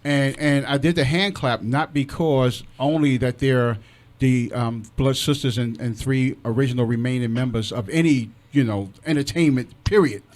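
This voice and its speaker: A man's voice: medium pitch, moderate pace. A man hosting a radio show in casual, effusive interview chat. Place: radio studio. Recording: loud crowd chatter behind.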